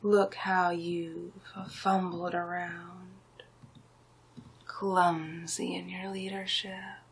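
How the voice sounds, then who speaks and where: A smug, scheming male sorcerer, acted out by a woman speaks in an ASMR villain roleplay. The voice is low-pitched for a woman and breathy, often sinking to a whisper. Each phrase comes very slowly and deliberately, with condescending, hypnotic command. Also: flat delivery, full character voice. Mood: scornful.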